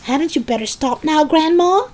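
A woman's voice: high-pitched, lively intonation, moderate pace, a little mellow, pitched above the performer's own voice. A woman voicing a young boy in a children's storybook, earnest and urgent as he protests and pleads. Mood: worried protest.

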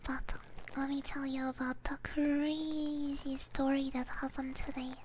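A woman streams ASMR in a hushed, high-pitched whisper, very close to the microphone. She chats casually at a slow, halting pace. Clear noise sits behind her voice. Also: little pitch movement. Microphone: close binaural ear microphone.

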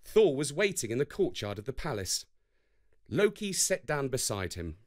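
A man's voice: medium pitch, big pitch swings, normal speed. A man narrating a scripted children's story as a storyteller.

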